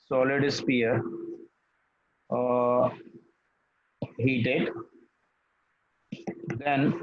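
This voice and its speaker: A man's voice: mid-range voice, highs cut off. A man tutoring physics, patient, repeating key phrases and checking that his student follows. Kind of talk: one-on-one tutoring session.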